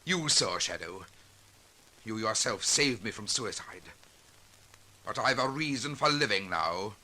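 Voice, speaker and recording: A man's voice: fairly low pitch, animated, a little slow, bright, forceful tone, exaggerated voice, poor audio. An actor playing a bitter, desperate accused man in a 1940s radio drama, intense and impassioned.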